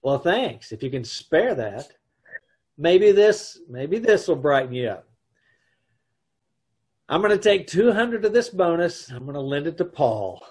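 Exasperated husband and narrator played by a man, old-time radio comedy, dry and blustering, very animated, husky voice. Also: fairly high pitch, a little slow, pauses often, full character voice. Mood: incredulous. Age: middle-aged. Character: exasperated, sarcastic, self-mocking husband and narrator. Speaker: amateur radio troupe actor. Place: home room, on a video call. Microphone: video call.

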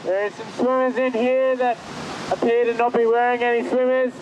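A man's voice: very high voice, flat delivery, moderate pace. A young man, a lifeguard talking firmly and plainly on a beach. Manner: firm, plain-spoken. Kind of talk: announcing over loudspeaker and casual talk. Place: outdoors on a loud surf beach. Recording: loud surf noise behind.

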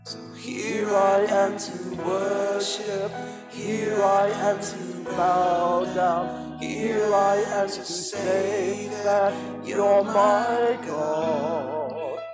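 Female cantor, slow sustained hymn singing over strong accompaniment. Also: medium pitch, fairly even intonation, loud music behind the voice. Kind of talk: congregational worship hymn singing. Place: church. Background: loud instrumental accompaniment.

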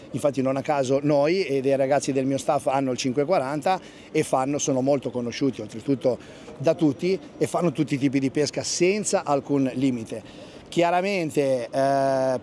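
A man's voice: fairly high pitch, dark tone, a little hiss or hum. Enthusiastic man at a marina. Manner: enthusiastic, repeats "assolutamente". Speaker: fishing guide. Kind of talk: interview at a boat show. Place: outdoor marina at a boat show.

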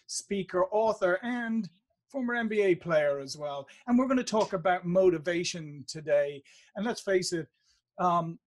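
A middle-aged man hosting an interview over a video call on a studio mic, upbeat and asking long questions with restarts. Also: fairly high pitch. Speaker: interview host. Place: home office in front of a branded backdrop.

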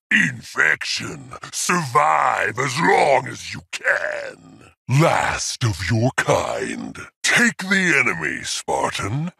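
A menacing rogue AI warlord for a video game. The voice is harsh, gravelly and piercingly forceful, swinging widely in pitch. He sounds haughty and bellicose, announcing like a conqueror who despises the weak. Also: dry recording, clean studio sound, exaggerated voice.

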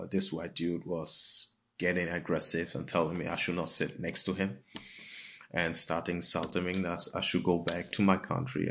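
Deep, creaky, flat-pitched man. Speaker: interviewee. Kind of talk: personal testimony.

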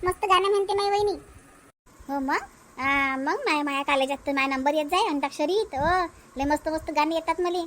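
A woman's voice: high-pitched, brisk, a bit bright. A woman voicing chatty, teasing village women in an amateur cartoon comedy, with a Varhadi Marathi accent. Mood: playful, chatty.

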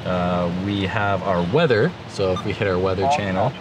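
A man in his thirties with a fairly low voice talks casually through a piece of gear. He sounds easygoing yet keen, chatting to the camera in everyday words, with clear vehicle and outdoor noise behind him. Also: moderate pace. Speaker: vlogger demonstrating vehicle gear. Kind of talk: casual product walkthrough to camera. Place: inside a parked jeep with open door, outdoors.

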